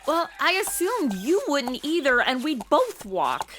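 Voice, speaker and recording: A woman's voice: high voice, very animated, a little slow, fairly bright tone, slight background noise, full character voice. An actress playing a spoiled modern city woman stuck in the Old West, sarcastic, blunt and complaining, in a comedy audio drama. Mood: exasperated.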